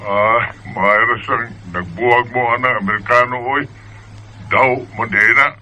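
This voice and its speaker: Bright-voiced, caring older Visayan father. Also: mid-range voice, fairly even intonation, a little slow, a little hiss or hum, rough recording. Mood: caring, urging. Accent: Filipino Visayan. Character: caring older Filipino father urging his daughter home. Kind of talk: Bisaya radio drama acting.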